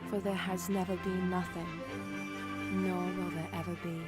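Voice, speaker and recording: A woman playing the grave, sorrowful last survivor of a fallen fantasy race, reading a confession in a measured, poetic way. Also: lowish voice, little pitch movement, close to an everyday voice. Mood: reverent, resolute. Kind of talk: solemn dramatic reading of a confession. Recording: musical score under the voice. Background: music.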